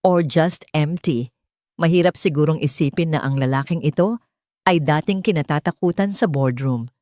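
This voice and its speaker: A woman's voice: low-pitched, moderate pace, mellow, dry recording, studio quality, natural voice. A woman voicing a treacherous male business rival in an audio drama, smug and oily. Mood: smug mockery. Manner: oily, mocking.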